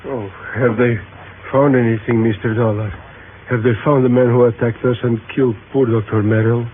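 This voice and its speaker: A man's voice: mid-range voice, level tone, normal speed, close to an everyday voice. An actor playing an evasive, indignant male scientist with stiff, formal speech in a 1950s radio drama. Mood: wary. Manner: formal, stiff wording, protesting. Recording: muffled noisy 1950s radio recording.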